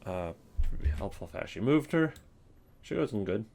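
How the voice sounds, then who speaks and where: A man's voice: on the low side, sing-song, unhurried, gravelly, a little mellow, a little hiss or hum. A man giving informal commentary as host of a ranking, hesitant and thinking aloud. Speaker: host ranking game voice lines. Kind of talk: casual commentary on a tier list.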